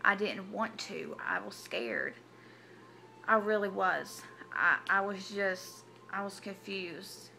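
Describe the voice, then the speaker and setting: A woman, telling her personal story to camera in a halting, hesitant way with many restarts. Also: mid-range voice, expressive, creaky, pushed and bright, slight background noise. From Tennessee. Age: 20s. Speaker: vlogger. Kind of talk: personal confessional vlog.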